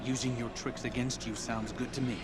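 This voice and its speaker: A man's voice: medium pitch, level tone, a little fast, over loud music, lightly acted. An actor playing a defensive, brooding young male warrior in a video game, terse and bristling with wounded pride.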